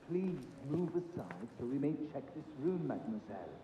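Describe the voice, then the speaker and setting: An actor playing a stiff, officious guard in a video game scene, formal and wearily patient, heard from a distance in a room. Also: male voice, fairly high pitch, very animated, a little slow, muffled recording. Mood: weary. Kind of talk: video game cutscene acting. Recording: echoey, distant, noisy room.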